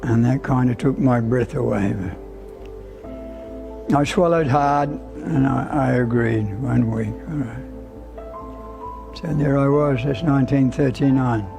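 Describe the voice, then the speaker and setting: A man's voice: medium pitch, fairly even intonation, slow, vocal fry, pauses often. A man in his nineties, a priest, reminiscing and telling the story of his own life. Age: 90s. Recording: music bed behind the voice.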